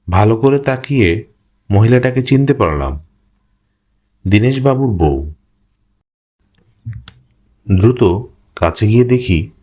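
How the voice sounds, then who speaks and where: A man's voice: on the low side, a little mellow. A man reading scripted, dramatic first-person narration for a horror cartoon. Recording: clear music bed behind narration.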